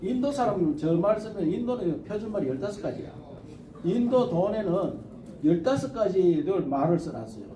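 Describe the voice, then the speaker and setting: A man's voice: sits high, sing-song, moderate pace, mellow, roomy sound, far from the mic, slight background noise, poor audio. An older man with a Gyeongsang dialect giving a rambling, folksy campaign speech to an audience, with emphatic points. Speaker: politician.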